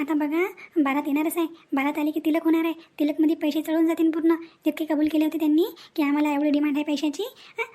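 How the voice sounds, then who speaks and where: A woman's voice: high-pitched, dark tone, close to an everyday voice. A woman voicing an anxious, helpless young woman in a family cartoon, speaking in a plaintive way. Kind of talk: cartoon family drama dialogue.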